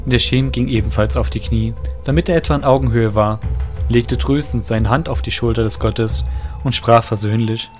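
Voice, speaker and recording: Guy narrating a fantasy audio play, mid pitch, scripted, quiet music under it. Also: fairly even intonation, moderate pace. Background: soft music.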